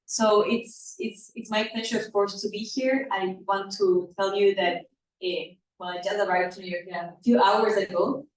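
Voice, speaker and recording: Woman, Ecuadorian Spanish accent, earnest activist addressing an event, halting and repetitive delivery; very reverberant, distant recording. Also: medium pitch, fairly even intonation. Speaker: activist, panel speaker at a conference. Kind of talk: public presentation to a live audience. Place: indoor event room. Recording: echoey room, distant mic, background noise.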